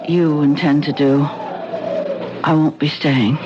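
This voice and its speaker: An actress playing a frightened wife in an old radio drama, anxious and pleading. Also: female voice, deep voice, steady tone, takes it slowly, over loud music. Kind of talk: 1970s radio drama acting. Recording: dull, faintly hissy old radio broadcast.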